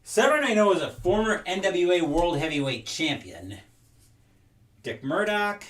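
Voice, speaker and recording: A man's voice: high-pitched, sing-song, a little slow, a bit bright, recorded from a distance. A young adult man chatting casually while unboxing and sorting wrestling game cards at home, rambling and reading names aloud as he goes. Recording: roomy, off-mic sound.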